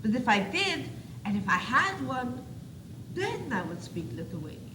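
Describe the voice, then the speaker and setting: A woman's voice: fairly high pitch, sing-song, a little slow. Haughty baby boy doll, played by a female student, put-on French accent, theatrical and swooping, on an echoey, noisy old school tape. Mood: indignant, pompous. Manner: pompous, theatrical. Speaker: student actor in a school play. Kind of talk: stage one-act comedy acting.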